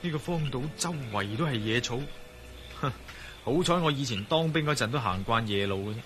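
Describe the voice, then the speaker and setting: An actor playing a resolute, self-assured ex-soldier adventurer who thinks aloud in a radio drama. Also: male voice, medium pitch, animated, a little fast, a bit bright, soft background music, lightly acted. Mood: searching, watchful. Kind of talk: radio drama dialogue and spoken-thought monologue. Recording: radio drama with noisy ambience.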